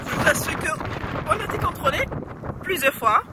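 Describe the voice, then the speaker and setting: A woman's voice: very high-pitched, lively intonation, fairly quick. A woman with the flu vlogging outdoors by a lake, chatting casually and rambling with many fillers and self-corrections. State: has the flu. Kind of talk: casual vlog advice. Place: outdoors by a lake in freezing wind. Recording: loud wind noise outdoors.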